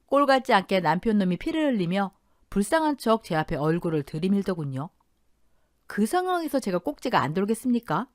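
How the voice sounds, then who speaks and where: Expressive female radio story narrator. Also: medium pitch, fairly quick, no room sound, clean studio sound. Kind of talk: dramatic scripted first-person story narration for radio.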